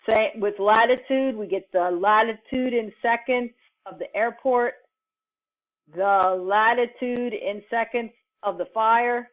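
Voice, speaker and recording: A woman teaching in an informal lecture style, explaining step by step in a halting, deliberate manner with pauses mid-sentence. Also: on the high side. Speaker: computing instructor. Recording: muffled, phone-like narrowband audio.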